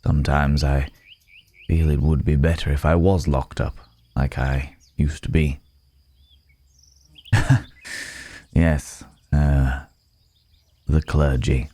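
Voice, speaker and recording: Man voicing a very deep, brooding werewolf recluse. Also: level tone, slow, long pauses, warm tone, full character voice. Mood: resigned, sombre. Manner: hesitant, pensive, old-fashioned formal phrasing. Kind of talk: ASMR roleplay monologue.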